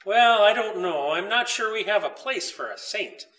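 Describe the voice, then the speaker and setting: A man voicing a hesitant but agreeable father in a storybook, speaking in a measured, considering way. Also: high-pitched, lively intonation, pushed and bright, roomy sound, voice pushed up. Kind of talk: storybook character voice.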